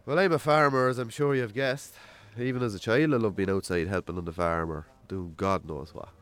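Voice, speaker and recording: An actor playing a wry, self-deprecating rural Irish farmer in a radio drama, telling stories colloquially. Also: male voice, lowish voice, steady tone, fairly quick, fairly bright tone, lightly acted. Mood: reflective, modest. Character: Jamesy, rural bachelor farmer, self-deprecating storyteller on a date. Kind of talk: naturalistic radio drama acting.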